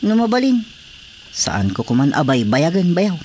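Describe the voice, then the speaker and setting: A hapless, complaining comic in-law with a lowish voice that swoops about. The accent is Ilocano Filipino and the delivery is radio comedy drama, over faint noise. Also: female voice, sing-song, moderate pace, darkish tone. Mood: whiny.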